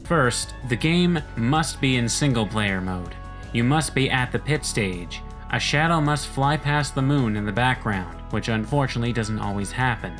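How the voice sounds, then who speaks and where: A man's voice: medium pitch, fairly bright tone. A man reading scripted instructional narration in a methodical, matter-of-fact way.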